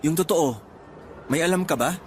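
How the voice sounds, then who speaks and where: A man's voice: fairly high pitch, normal speed, slight background noise, close to an everyday voice. An actor with a Filipino accent playing an earnest, wistful young man traveling abroad, in conversational radio drama dialogue. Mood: playful, curious.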